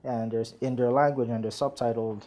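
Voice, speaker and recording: A man, a screenwriter guest chatting in an interview, relaxed and rambling with many fillers. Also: medium pitch, fairly quick. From Nigeria. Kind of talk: casual interview chat.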